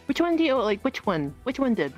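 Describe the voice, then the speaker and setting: A woman improvising in-character roleplay chat in a halting way, often repeating herself. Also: on the high side, very animated, soft background music. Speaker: roleplayer in a virtual-world game.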